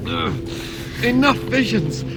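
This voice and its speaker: A man playing a tormented young captive soldier in a fantasy video game, bitter and desperate, speaking in strained, halting anguish. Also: very high voice, expressive, over loud music, full character voice. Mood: anguished defiance. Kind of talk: dramatic fantasy game acting.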